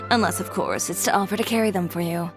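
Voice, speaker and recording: An actress playing a confident, blunt young fashion designer in a video game, matter-of-fact and protective. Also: female voice, on the low side, moderate pace, over music, close to an everyday voice. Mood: reassuring, firm. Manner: self-assured, matter-of-fact, dry.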